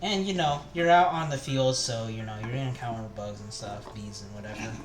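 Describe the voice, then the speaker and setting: A man's voice: mid-range voice, brisk. Rambling man streaming from his kitchen. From Taiwan. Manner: rambling, many fillers and restarts. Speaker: cooking streamer. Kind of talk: casual chat while cooking on a live stream. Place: home kitchen. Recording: echoey room with music. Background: background music and chopping.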